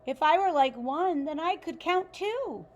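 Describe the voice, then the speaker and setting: A woman's voice: high voice, expressive, measured pace, pitched above the performer's own voice. A woman voicing an earnest, insecure female number zero in a children's storybook, with emotive, childlike delivery. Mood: wistful longing.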